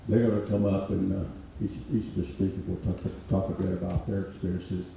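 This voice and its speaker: An older man, hoarse, giving a halting speech with many pauses. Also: low voice, flat delivery, dark tone, slight background noise. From Mississippi Delta, USA. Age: 80s. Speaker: guest speaker. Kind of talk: informal speech at a reunion event. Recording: echoey hall audio, distant mic.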